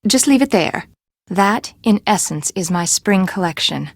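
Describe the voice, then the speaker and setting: An actress playing an imperious, eccentric fashion designer in a video game, with a haughty, dramatic, emphatic delivery. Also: female voice, mid-range voice, lively intonation, measured pace, no room sound, clean studio sound. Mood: bossy.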